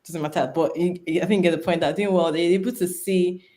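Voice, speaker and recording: A woman's voice: on the low side, steady tone, quick pace, dark tone. A woman, hosting a panel and making her points with emphasis. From Nigeria. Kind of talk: casual panel discussion.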